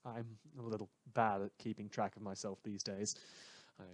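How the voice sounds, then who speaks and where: A man's voice: fairly low pitch, level tone, moderate pace, dry recording. A man hosting a radio show, reading news and letters in a polite, understated way with hesitant asides.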